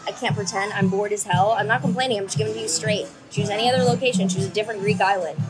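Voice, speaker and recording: A woman's voice: medium pitch, fairly even intonation, over loud music, rough recording. A young woman filming herself on a phone at arm's length in a holiday villa, chatting candidly and swearing freely. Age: young adult. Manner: candid, swears freely, drawn-out casual fillers. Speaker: vlogger. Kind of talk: casual vlog chat to camera. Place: holiday villa, indoors and on sunny terraces. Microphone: handheld phone at arm's length.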